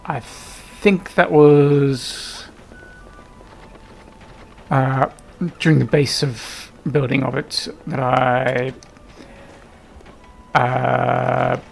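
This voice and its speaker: Slow, creaky-voiced man narrating gameplay. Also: mid-range voice, level tone, soft background music. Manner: hesitant, rambling, often trailing off. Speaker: gaming video host. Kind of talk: casual unscripted gameplay commentary.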